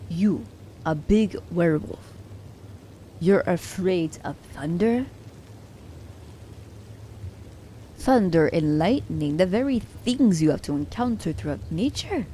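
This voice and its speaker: Low, mellow, sly assassin woman, acted for ASMR. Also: expressive, a little slow, slight background noise. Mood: incredulous, mocking. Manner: teasing, haughty, speaks to listener as her captive pet. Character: sly, commanding female assassin, teasing captor of a werewolf. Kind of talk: ASMR roleplay acting.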